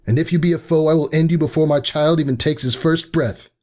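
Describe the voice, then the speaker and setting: A man's voice: fairly high pitch, steady tone, fast talker, darkish tone, pitched above the performer's own voice, close to an everyday voice. A man voicing a young, defiant pegasus mare in labour, urgent and strained, in a dramatic storybook reading. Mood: menacing.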